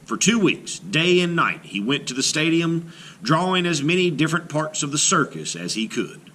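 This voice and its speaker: Lively man reading a picture book. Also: fairly high pitch, slight background noise. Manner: expressive storybook reading, slowing on key phrases. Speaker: teacher reading aloud. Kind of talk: children's read-aloud.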